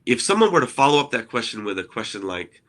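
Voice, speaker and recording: A man with a bright, ringing voice at a middle pitch, who grew up in Argentina. He talks earnestly in a relaxed podcast chat and keeps asking "right?" to bring the listener along. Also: fast talker. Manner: earnest, explanatory, often checks in with "right?". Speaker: co-host, ministry innovation speaker. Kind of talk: conversational podcast discussion.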